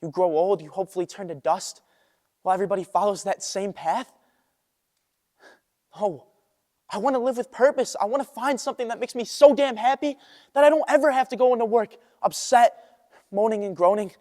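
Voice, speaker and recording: A man's voice: high voice, steady tone, moderate pace, pauses often, warm tone, close to an everyday voice. A teenage male student playing a restless, idealistic young man in an earnest, emphatic stage monologue. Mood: impassioned. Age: teens. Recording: echoey hall with long reverb.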